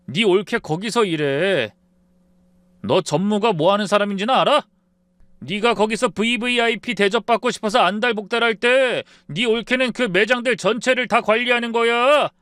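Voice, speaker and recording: A man voicing an angry grown son who rebukes his sister in gruff, blunt bursts. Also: high-pitched, normal speed, fairly bright tone, no room sound, pitched above the performer's own voice.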